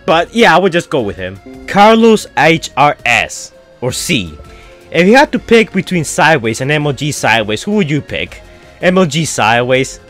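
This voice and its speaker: Chatty young man with lively pitch. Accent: foreign-sounding. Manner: rambling, chatty, frequent fillers. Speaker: YouTuber answering fan questions. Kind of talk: casual Q&A chat.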